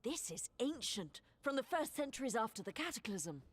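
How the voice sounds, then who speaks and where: A woman playing a sharp-witted, skeptical adventurer in a fantasy video game, dry and observant. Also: a little high, big pitch swings, moderate pace, slightly rough, no echo. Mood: intrigued.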